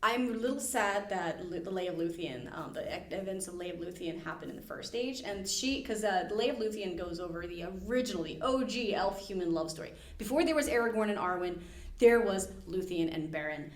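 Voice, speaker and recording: Podcast host: American woman, mid-range voice, animated, slangy, joking storyteller, roomy echo. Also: medium pitch, fairly quick, a little hiss or hum. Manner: animated, slangy, jokey retelling with acted-out quotes. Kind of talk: casual podcast chat and storytelling. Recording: roomy, echoey indoor sound.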